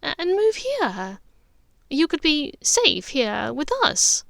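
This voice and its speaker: Audiobook role: warm witch, older sister female narrator acting, expressive, halting, raised pitch, dry sound. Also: creaky, no room sound, full character voice. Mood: pleading, urgent.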